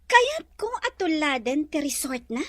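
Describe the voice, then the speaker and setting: Woman as bossy young resort owner, Ilocano accent, audio drama, lively swings, close dry sound. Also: high voice, unhurried, no room sound, exaggerated voice. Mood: proud, scheming.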